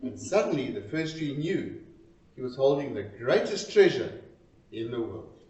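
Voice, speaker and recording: A man reciting a storytelling poem in a dramatic, expressive and deliberate way, pausing between short phrases. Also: mid-range voice. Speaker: reader of a poem. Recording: roomy, distant, dull lo-fi sound.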